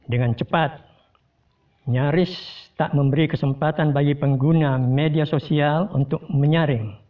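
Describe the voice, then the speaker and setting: Man, fairly high voice, formal measured commentary, tinny lo-fi sound. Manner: measured, formal address to viewers. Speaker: host giving a commentary. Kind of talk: scripted spoken commentary. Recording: distant tinny phone-like sound.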